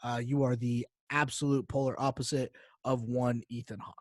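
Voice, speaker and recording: A man's voice: medium pitch, flat delivery, moderate pace, no echo, studio quality. A man co-hosting a podcast in casual banter, joking and opinionated, with playful repetition. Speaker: podcast host.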